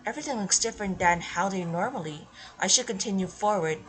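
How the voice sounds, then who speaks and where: A woman's voice: medium pitch, expressive, fast talker, low-quality recording. A woman hosting a gameplay video, commenting and reading game text aloud. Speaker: gaming video host. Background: faint game music.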